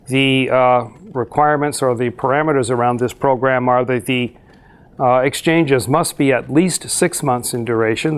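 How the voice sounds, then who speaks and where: A middle-aged man, a university professor, giving a slide presentation to an audience in a measured, explanatory way with frequent filler pauses. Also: medium pitch. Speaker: university professor presenting a research exchange program.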